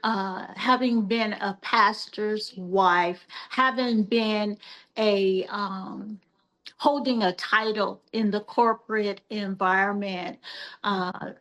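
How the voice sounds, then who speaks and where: An older woman guest on a podcast, talking in a soft-spoken, measured and earnest way, with many pauses and fillers. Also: mid-range voice, fairly even intonation, poor audio. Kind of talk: reflective interview talk.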